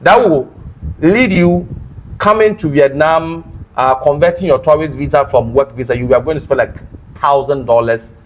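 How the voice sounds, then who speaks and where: A man with a Ghanaian accent and a slightly higher-than-average voice hosts a casual talk. He lays things out deliberately, one step after another. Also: slight background noise, poor audio. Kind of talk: casual explanatory discussion.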